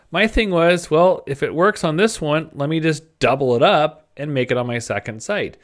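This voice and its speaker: Conversational man narrating a screencast tutorial. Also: medium pitch. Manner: conversational, informal, thinking aloud. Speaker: presenter of web design tutorials. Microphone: desktop microphone at a computer.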